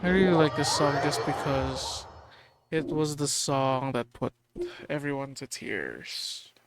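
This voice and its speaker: A man playing through a story quest, reacting casually in short bursts and chuckling along. He talks slowly, and clear game music runs underneath his voice. The result sounds like an informal playthrough recording. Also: medium pitch, animated. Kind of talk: casual reactions over gameplay.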